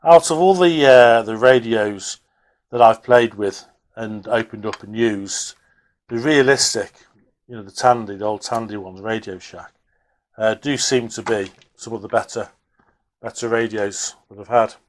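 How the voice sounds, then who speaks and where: A man's voice: on the low side, unhurried. Man, hesitant and thinking aloud. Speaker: hobbyist video presenter. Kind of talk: casual unscripted workbench commentary.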